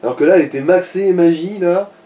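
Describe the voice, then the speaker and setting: A man's voice: sits high, fast, warm tone, muffled recording. A man giving colloquial, slangy commentary as co-host of a gaming session. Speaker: let's play co-host. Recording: distant mic, faint background noise.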